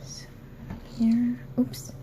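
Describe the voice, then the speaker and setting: A woman's voice: very slow, mellow, a little hiss or hum. A young woman hosting a crafting tutorial, talking hesitantly with many ums and apologies, recorded in an office. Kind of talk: casual how-to tutorial.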